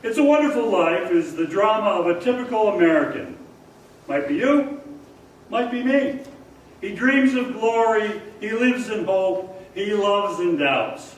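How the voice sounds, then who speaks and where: A man's voice: high-pitched, lively intonation, a little slow. A man playing an upbeat, theatrical 1940s radio show announcer, welcoming a live audience as host. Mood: grand, earnest. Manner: theatrical, showy, addressing the audience. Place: stage of a performing arts hall. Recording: echoey hall from far off, faint noise.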